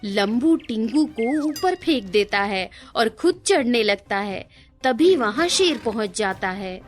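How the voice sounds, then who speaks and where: Female narrator for kids' cartoon tales; high, lively, unhurried, with faint music behind. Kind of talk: scripted children's cartoon story narration.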